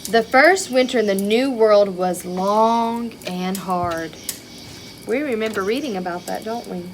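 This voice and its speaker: Slow-paced woman reading to children. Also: medium pitch, a little hiss or hum. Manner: expressive, child-directed, with rhetorical questions and exclamations. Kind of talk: read-aloud picture-book storytelling with chatty asides.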